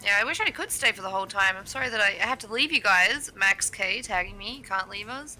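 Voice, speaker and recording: Bright-voiced young woman with Australian accent. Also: medium pitch, quick pace, faint music behind, low-quality recording. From England. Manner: playful, laughs often, teasing. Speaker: co-caster. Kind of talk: casual game commentary chat.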